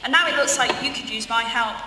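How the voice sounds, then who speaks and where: A woman's voice: a little high, brisk, sharp tone. A young woman playing a brash, sardonic teenage wizard boy in a comic amateur radio play. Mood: cocky. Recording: echoey hall from far off, faint noise.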